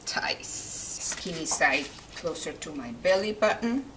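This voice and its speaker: A woman's voice: low-pitched, lively intonation, slow pace, distant mic, noisy background. A woman from Mexico with a Mexican accent, a native Spanish speaker, hosting an informal home recipe demonstration. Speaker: home cooking video host. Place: kitchen.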